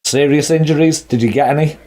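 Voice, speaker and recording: A man's voice: a little high, fairly even intonation, brisk, mellow. Chatty, joking man from Wales hosting. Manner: chatty, jokey, greets viewers. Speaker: host. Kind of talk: casual live-stream chat.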